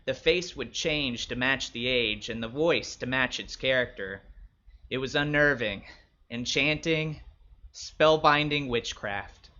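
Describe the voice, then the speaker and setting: A man plays a wary young hustler from the 1960s telling his own short story with wry bluntness. The voice is bright and forceful at a middle pitch, on slightly dull, lo-fi audio. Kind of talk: first-person short story narration with acted dialogue.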